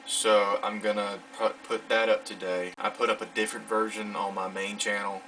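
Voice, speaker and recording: Low-voiced young man, distant mic. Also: flat delivery, normal speed, bright, forceful tone, a little hiss or hum. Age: late teens. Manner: rambling, self-deprecating, informal. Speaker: vlogger. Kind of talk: casual vlog chat. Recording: distant mic, clear music behind.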